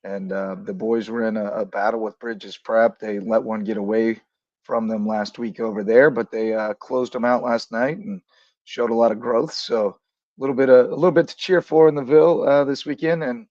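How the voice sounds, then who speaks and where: A man hosting a casual basketball talk show with a voice a bit raspy from shouting the day before, rambling easily with lots of fillers. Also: mid-range voice, fairly even intonation, moderate pace, no room sound. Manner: easygoing, rambling with many fillers. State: slightly raspy from coaching. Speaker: podcast host. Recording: dull, dry sound.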